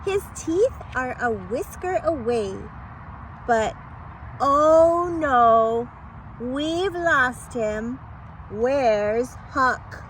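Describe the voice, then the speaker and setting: A woman reading a picture book aloud to children in a dramatic, playful storytelling manner with exclamations and suspenseful pauses. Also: high-pitched, lively intonation, a little hiss or hum, rough recording.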